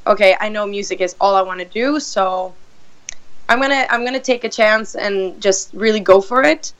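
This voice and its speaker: A woman in her thirties from Sweden with a raspy voice, chatting casually as a guest singer on a call-in interview. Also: mid-range voice, highs cut off. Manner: chatty, halting, laughs often.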